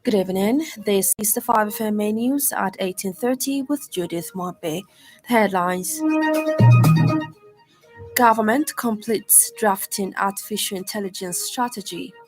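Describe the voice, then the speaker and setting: A woman's voice: mid-range voice, unhurried, poor audio. A woman with a Zambian accent reads a formal news bulletin as a radio newsreader. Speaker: news reader. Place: radio studio. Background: music.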